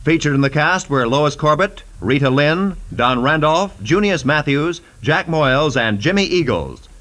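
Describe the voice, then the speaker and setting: A man's voice: a little high, lively intonation, unhurried, fairly bright tone. An American man reading scripted credits and promotions as a polished, formal 1940s radio announcer. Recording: vintage 1940s radio broadcast.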